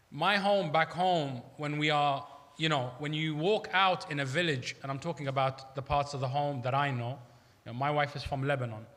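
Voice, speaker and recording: Male preacher from Iraq, higher-pitched bright voice, emphatic conversational sermon delivery in a reverberant hall. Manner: conversational, emphatic, uses rhetorical questions. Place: large hall at an Islamic centre. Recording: echoey hall with long reverb.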